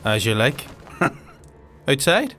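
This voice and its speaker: An actor playing a blunt, eager henchman in a dark fantasy audio drama. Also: medium pitch, huge pitch swings, drawn-out words, background noise, full character voice. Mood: obliging, amused.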